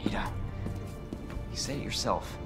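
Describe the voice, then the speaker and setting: A man's voice: measured pace, over music, lightly acted. A man playing an earnest, dutiful young rookie cop in a video game, protective and urgent in his delivery. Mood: concerned, protective. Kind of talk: cinematic video game acting.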